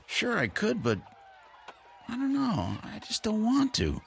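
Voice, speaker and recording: An actor playing an easygoing, oblivious husband in a television drama, with a casual, chatty way of talking. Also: male voice, sits high, huge pitch swings, unhurried, vocal fry, a little hiss or hum, full character voice. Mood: reluctant, casual. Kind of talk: naturalistic television drama acting.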